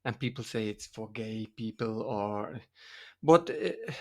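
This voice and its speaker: Slow, halting Dutch man. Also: lowish voice, lively intonation, mellow. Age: middle-aged or older. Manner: halting, searching for words in English. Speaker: podcast co-host, dance teacher and coach. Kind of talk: casual podcast discussion.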